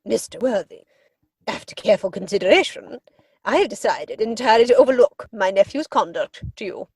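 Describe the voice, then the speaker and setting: An imperious older aristocratic aunt in a comic play reading. Her pitch swings widely through pompous, deliberate phrases. The voice is husky, heard dry and close. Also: lively intonation, a little mellow, dry recording. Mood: indignant, grand. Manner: pompous, drawn-out, deliberate delivery.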